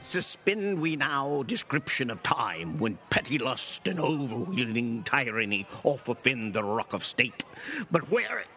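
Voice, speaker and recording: A man's voice: mid-range voice, sing-song, measured pace, sharp tone, faint music behind, full character voice. A man playing a grandiose classical actor declaiming a mock Shakespearean soliloquy.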